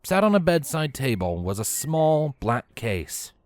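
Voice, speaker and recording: A man narrating a story from a script in an expressive, animated way with theatrical emphasis. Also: medium pitch, measured pace, dry recording. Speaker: audiobook-style narrator of fan fiction.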